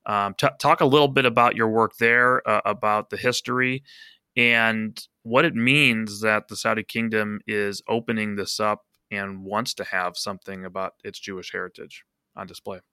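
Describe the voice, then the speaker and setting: A man hosting a podcast interview, asking questions in a halting way with repeated words. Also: fairly low pitch, steady tone, sharp tone, clear, resonant tone, no room sound. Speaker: podcast host.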